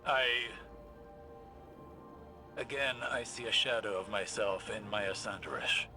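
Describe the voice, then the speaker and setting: An actor playing a grave, cautious scholarly mentor in a video game drama, speaking in a deliberate, formal, thoughtful manner. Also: male voice, lowish voice. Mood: troubled. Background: music.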